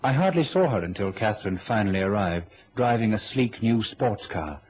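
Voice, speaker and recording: A man's voice: lowish voice, expressive, moderate pace, a little mellow, a little hiss or hum, lightly acted, rough recording. A young man playing an anxious, obedient son cowed by his mother, hesitant and uneasy, in a radio drama. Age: young adult. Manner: hesitant, stammering protests. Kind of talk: radio drama acting and narration.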